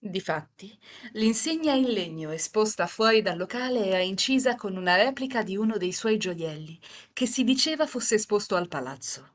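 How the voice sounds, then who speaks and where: A woman's voice: medium pitch, lively intonation, moderate pace. A woman reading scripted narration for a live visual novel reading. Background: music.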